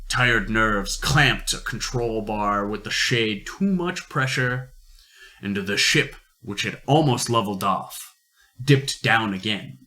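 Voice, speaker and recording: A man narrating an amateur science-fiction radio play in deliberate storytelling phrases. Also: medium pitch, a bit bright.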